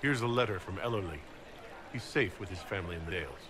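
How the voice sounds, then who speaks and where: Very low-voiced, wry commanding actor as game hero. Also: male voice, quick pace, crowd noise, close to an everyday voice. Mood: serious. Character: calm, wry male military-religious leader of an inquisition. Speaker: player character in a fantasy video game. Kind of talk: cinematic game dialogue acting. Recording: background noise and ambience.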